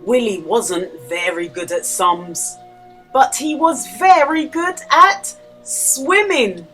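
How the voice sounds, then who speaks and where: A woman with a high voice reads to young children as a storyteller. Her delivery is slow and very animated, with exaggerated emphasis and careful pauses. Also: soft background music. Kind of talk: expressive children's storybook read-aloud with quiz.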